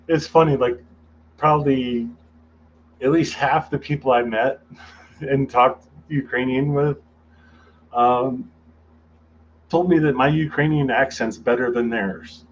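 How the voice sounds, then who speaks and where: A man's voice: medium pitch, steady tone, slow pace, long pauses. A male interview guest talking casually and hesitantly, often stopping to restart his sentences. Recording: dull lo-fi audio with faint noise.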